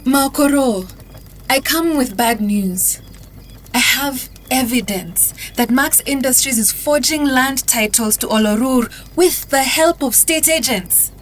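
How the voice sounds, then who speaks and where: A woman playing a grave, defiant whistleblower on the run in an earnest, deliberate motion comic drama. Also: high voice, slight background noise. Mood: urgent, concerned.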